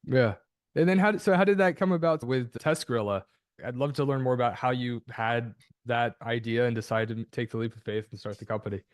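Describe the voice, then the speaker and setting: A man's voice: medium pitch, fairly quick, no room sound, studio quality. A man hosting an interview podcast, upbeat and chatty, restarting his sentences often. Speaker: podcast host.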